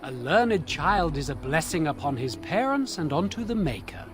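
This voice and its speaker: Solemn game chanter: high, swooping, formal scripture recital, faint background noise. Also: male voice, sing-song, normal speed, music in the background. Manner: declamatory, formal. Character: pious male chanter reciting holy verse, solemn.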